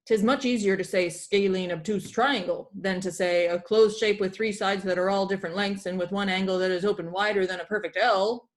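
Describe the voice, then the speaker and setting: A woman's voice: mid-range voice, fairly even intonation, a little fast, few pauses, natural voice. A woman voicing a hearty old male walrus captain who teaches in pirate phrasing, from a children's comic book. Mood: hearty, instructive.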